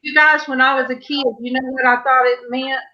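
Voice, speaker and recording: Folksy woman, bright voice. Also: sits high, flat delivery, a little fast, dull audio. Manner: folksy and chatty, with fillers. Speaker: host of an online church panel. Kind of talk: casual chat on a livestream.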